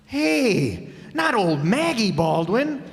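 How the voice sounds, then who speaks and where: A man's voice: high voice, big pitch swings, slow, gravelly, exaggerated voice. A man acting a breezy, oblivious husband in an old-time radio sitcom, with exclamatory comic delivery. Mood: eager, breezy. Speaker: amateur radio player. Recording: echoey hall stage performance.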